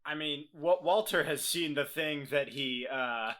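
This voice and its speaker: A man with a bright, forward voice chats as a player in a tabletop game. He speaks slowly and hesitantly, choosing his words. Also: on the high side. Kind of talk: casual game chat, some in-character talk.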